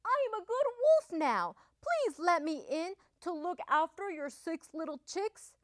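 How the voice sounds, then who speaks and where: A woman's voice: high-pitched, very animated, slow pace, a bit bright, no room sound, clean studio sound, full character voice. A woman voicing a lonely, polite wolf in a children's story who earnestly tries to be good. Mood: sweetly polite.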